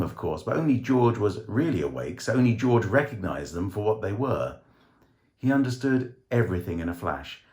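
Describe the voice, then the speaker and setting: A man reading a short story as dramatic scripted narration, with theatrical, measured phrasing. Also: on the low side. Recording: roomy, echoing voice recording.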